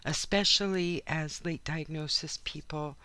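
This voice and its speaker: A woman with a hoarse, worn-out voice talks casually as she plays in a journal at her craft table. She rambles from one aside to the next, filling the gaps with ums, in the easy manner of a regular channel host. The sound comes from her home craft studio. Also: sits low, a little slow, pushed and bright, no echo. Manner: rambling, chatty, frequent asides and fillers. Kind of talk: casual chat while crafting.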